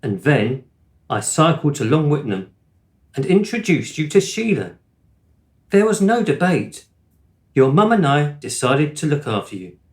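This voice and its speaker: A man playing an earnest, honest father in a radio play, recounting things deliberately. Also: fairly high pitch, big pitch swings, a little mellow. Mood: confessing. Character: earnest, honest father confessing to his child.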